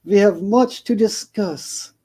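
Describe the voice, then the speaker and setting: A man reading a parody story aloud, voicing a seductive female spider hostess in a purring, drawn-out, theatrical way. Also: high voice, warm tone, slightly rough, pitched above the performer's own voice, full character voice.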